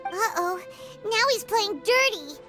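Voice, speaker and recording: A woman voicing a tiny, excitable female fairy companion in a video game, with a high, squeaky voice and quick, blurting reactions. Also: music in the background, heavily acted. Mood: alarmed.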